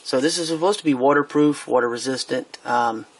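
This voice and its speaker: A man with a gravelly, slightly husky voice talks through a product review at an everyday pitch. He hesitates often, restarts his sentences and fills the gaps. He sounds casual and unscripted, as if working the thing out on the spot. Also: brisk, rough recording. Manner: hesitant, lots of fillers and restarts.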